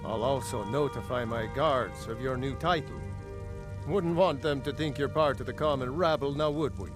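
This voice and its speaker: A man's voice: medium pitch, normal speed, over music, close to an everyday voice. Stately fantasy Jarl, played by a man. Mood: formal, proud. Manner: stately, formal, reflective. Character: dignified Jarl, measured ruler of a fantasy city. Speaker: voice actor in a fantasy video game. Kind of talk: fantasy game dialogue.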